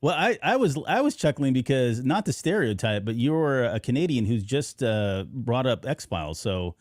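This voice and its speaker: A man's voice: mid-range voice, very animated, normal speed, a little mellow, no echo. Casual middle-aged man hosting, close dry sound. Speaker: podcast host. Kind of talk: casual fan chat.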